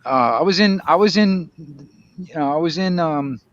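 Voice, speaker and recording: A man's voice: on the high side, expressive, normal speed, no echo. Middle-aged American man, dull lo-fi sound. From Florida, United States. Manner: rambling, hesitant storytelling with slangy, mildly profane speech. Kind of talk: casual chat.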